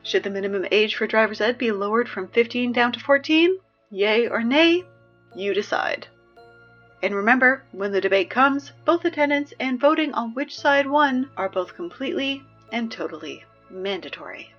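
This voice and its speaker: A young woman playing a wry, peppy teenage girl who reads high school announcements in a chatty, sardonic, emphatic way for a scripted audio drama. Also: animated, moderate pace, fairly bright tone, dull audio, soft background music. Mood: wry, upbeat.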